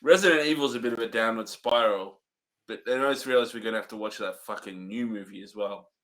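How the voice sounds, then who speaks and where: A man's voice: fairly low pitch, quick pace, a bit bright. A man co-hosting a podcast, speaking in a laid-back, hesitant way and laughing often. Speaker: podcast co-host. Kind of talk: casual chat.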